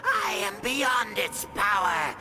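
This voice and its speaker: A man playing a menacing, gloating alien monster villain in a cartoon video game, with a gravelly, theatrical delivery. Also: sits high, huge pitch swings, slow, exaggerated voice. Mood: wrathful threatening. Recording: loud music under the voice. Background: loud dramatic music.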